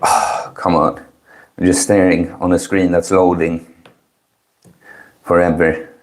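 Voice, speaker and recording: Slow, low-voiced man chatting on stream. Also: level tone. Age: early 30s. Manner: chill, mellow, low-key. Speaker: livestream host. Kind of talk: casual chat with live stream viewers.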